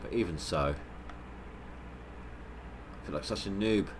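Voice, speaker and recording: A man's voice: low voice, a little slow, noisy background. A man with a head cold, sounding nasal, giving rambling, stop-start commentary on his gameplay livestream. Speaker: gaming livestreamer.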